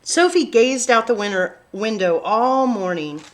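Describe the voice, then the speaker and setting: A woman with a high, very lively voice reads a children's story aloud. She takes her time, shaping phrases deliberately and expressively, as a story-time reader does. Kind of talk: expressive picture-book read-aloud narration.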